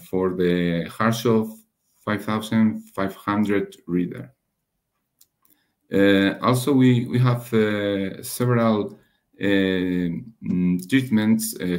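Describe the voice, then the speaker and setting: A man giving a technical slide presentation as a webinar speaker, halting and hesitant, often pausing and rephrasing. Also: low-pitched, a little mellow. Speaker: webinar presenter, radiation dosimetry researcher.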